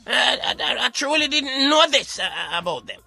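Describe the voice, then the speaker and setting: A man's voice: extremely high pitch, animated, normal speed, gravelly, pushed and bright, no room sound, faint music behind. A man giving short, casual, slightly hesitant reactions and questions as a radio co-host.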